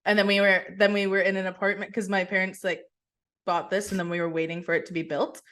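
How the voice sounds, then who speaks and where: A young woman co-hosting a casual podcast from her kitchen over a video call, talking in a relaxed, rambling way with many "like"s and restarts. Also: mid-range voice, fast talker. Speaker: podcast co-host. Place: kitchen at home.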